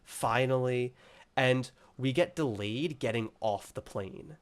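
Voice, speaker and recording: A man's voice: mid-range voice, slow. A young man co-hosting a podcast, chatting casually and rambling with lots of "like", sounding a bit congested from a cold. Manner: rambling, heavy use of filler "like". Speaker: podcast co-host. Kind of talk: casual chat.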